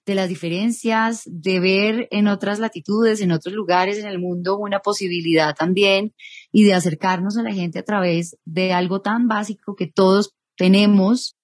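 A woman's voice: mid-range voice, fairly even intonation, normal speed, no echo, studio quality. A Colombian woman in her thirties, a journalist guest in an interview, speaking thoughtfully and rephrasing as she goes. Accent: Colombian Spanish. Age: 30s. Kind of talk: interview conversation.

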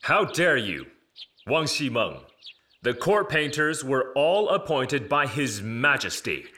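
An actor playing a stern, imperious headmaster of an imperial painting academy, formal and lecturing in a radio drama. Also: male voice, mid-range voice, very animated, slow pace, bright, forceful tone, full character voice. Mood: outraged.

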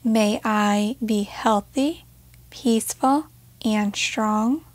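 A woman leading a guided meditation in a gentle, measured way, leaving pauses between short phrases. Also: a little high, no echo.

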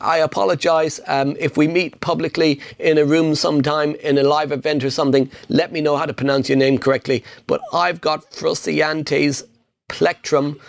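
A man's voice: a little high, flat delivery, moderate pace, gravelly. A middle-aged man hosting a casual Q&A, answering viewer questions on property investing in an upbeat, emphatic way. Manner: upbeat, emphatic, punchy repetition.

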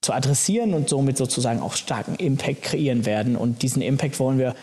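A man's voice: mid-range voice, lively intonation, quick pace, warm tone, in a large hall. A man from Germany speaking conversationally as a guest in a business podcast interview. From Berlin, Germany. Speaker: interview guest, venture capital founder.

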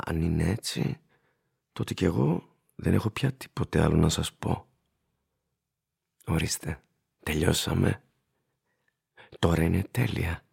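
An actor playing an ageing, plain-spoken shoe shiner in a radio play, courteous and gravely cautioning, with a husky, gravelly voice. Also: male voice, deep voice, full character voice. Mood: resigned, courteous. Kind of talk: radio drama acting.

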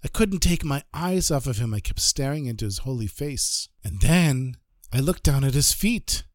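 A man voicing a wealthy male disciple who tells his story calmly and earnestly. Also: mid-range voice, moderate pace, dry recording, clean studio sound, lightly acted.

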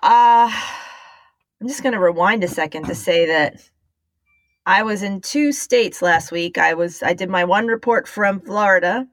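Wry woman podcast host, mid-pitched voice. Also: unhurried, no echo. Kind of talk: casual comic podcast banter.

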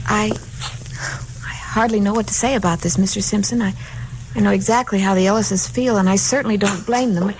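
A woman's voice: medium pitch, fairly even intonation, moderate pace, darkish tone, close to an everyday voice. An actress with an American accent playing a polite, hesitant, sorrowful married woman in a 1950s radio drama. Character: soft-spoken, hesitant married woman pleading her sorrowful case. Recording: noisy 1950s radio recording.

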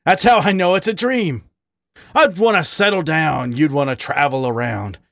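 A man's voice: fairly high pitch, moderate pace, gravelly, fairly bright tone, dry recording, studio quality, pitched above the performer's own voice. A man voicing an anguished male badger lover. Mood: wistful. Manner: pleading, rising to ragged outbursts.

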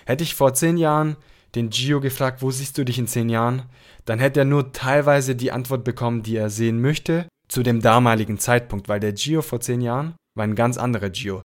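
A man's voice: medium pitch, a little fast. A young man from southern Italy, the show's host, giving long, rambling answers with lots of fillers. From Apulia, Italy. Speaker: podcast host. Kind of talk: casual conversation.

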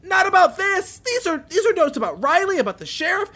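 An actress playing a worried, protective guardian in an audio drama, speaking in a stern and earnest way. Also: female voice, very high-pitched, big pitch swings, brisk, a bit bright, heavily acted. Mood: worried, exasperated. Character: protective, worried adult guardian of a teenage girl. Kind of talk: naturalistic audio drama acting.

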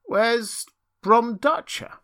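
Guy doing a confused humble old village man from a storybook, pleading and earnest, voice pitched way up. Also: extremely slow, pushed and bright, exaggerated voice. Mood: anxious, despairing. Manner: plaintive, earnest. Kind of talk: storybook character reading.

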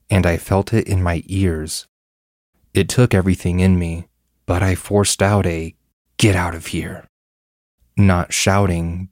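A man's voice: sits low, a little mellow, no echo, studio quality. A man reading a first-person horror story as scripted narration.

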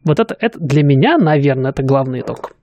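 A man's voice: mid-range voice, lively intonation, fast talker, darkish tone, a little hiss or hum. A man co-hosting a casual podcast, talking reflectively and with enthusiasm, thinking aloud with fillers. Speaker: podcast co-host, student pilot.

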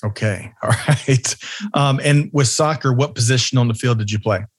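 A man's voice: medium pitch, normal speed, breathy edge, no echo, studio quality. A man hosting a business podcast, upbeat and friendly, asking questions in a casual interview. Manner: upbeat, friendly, uses fillers. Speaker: podcast host.

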